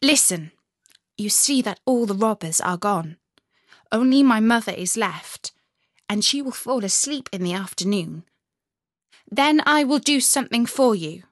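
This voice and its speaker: A woman's voice: fairly high pitch, lively intonation, a little slow, dry recording, clean studio sound. A woman voicing a fierce, bossy little robber girl in a storybook reading. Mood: commanding. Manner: bossy, threatening.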